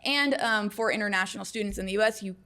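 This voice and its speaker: A woman's voice: mid-range voice, fast talker, pushed and bright. A woman with a mid-range voice who co-hosts a casual podcast. She reflects aloud on personal experience and steers the conversation with follow-up questions. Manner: conversational, reflective, guides the discussion. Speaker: podcast co-host.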